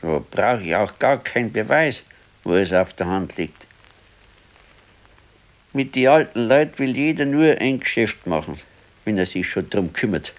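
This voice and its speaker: Brooding old Bavarian pensioner. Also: male voice, lowish voice, expressive, measured pace, pauses often, rough recording. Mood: bitter, despairing. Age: 60s. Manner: plain, colloquial, brooding complaint. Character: gloomy ailing old pensioner husband, fearful and brooding. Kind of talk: naturalistic radio play dialogue.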